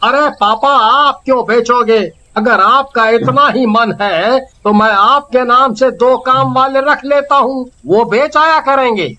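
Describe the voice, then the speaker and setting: Very high, bright grown son man acting. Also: unhurried, hardly any pauses, exaggerated voice. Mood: protesting. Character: dutiful grown son reasoning with his elderly father. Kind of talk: cartoon story acting.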